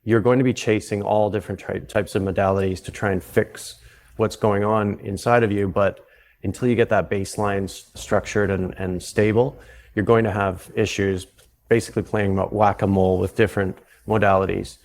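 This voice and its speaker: A man with a Canadian accent, a guest on an interview podcast, explaining his work in a relaxed way and often restarting his sentences. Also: fairly low pitch, moderate pace. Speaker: guest, psychedelic retreat founder. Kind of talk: conversational interview.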